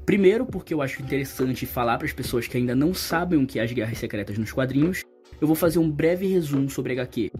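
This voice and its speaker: A man's voice: mid-range voice, lively intonation, fast talker, darkish tone. A man with a Brazilian Portuguese accent delivering scripted opinion commentary to viewers as a video host. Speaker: YouTube video essay host. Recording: background music under the voice.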